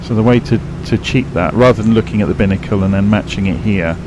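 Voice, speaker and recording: A man streaming a flight simulator, explaining cockpit instruments in a rambling, self-correcting way, his voice croaky and tired from a late night. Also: on the low side, fast, noisy background. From England. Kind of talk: casual live-stream commentary.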